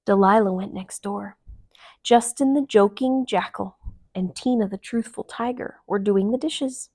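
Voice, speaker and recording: A woman reading a children's story aloud, with gentle, expressive storytelling narration. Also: medium pitch, unhurried, no echo. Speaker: storytime reader.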